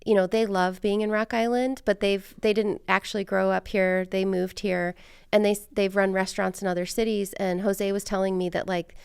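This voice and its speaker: An American woman in a dry, close recording, with some vocal fry in an otherwise clear voice. She hosts in a casual, conversational way, informal and peppered with "like". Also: mid-range voice, little pitch movement, normal speed, a little mellow, no room sound. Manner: conversational, informal, frequent use of "like". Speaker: podcast host. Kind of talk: casual interview chat.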